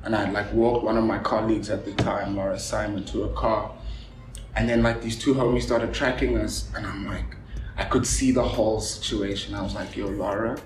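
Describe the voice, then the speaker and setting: A man from South Africa whose first language is Afrikaans, talking casually and telling stories quickly with lots of fillers. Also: lowish voice, distant mic. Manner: animated storyteller, talks quickly with many fillers. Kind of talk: casual conversation. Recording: roomy with background noise.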